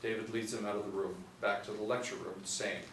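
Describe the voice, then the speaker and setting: A man's voice: on the low side, fairly even intonation, fairly quick, fairly bright tone. A middle-aged man reading stage directions as scripted narration at a screenplay table read. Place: small indoor room, seated group reading. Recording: roomy, distant mic, faint room noise.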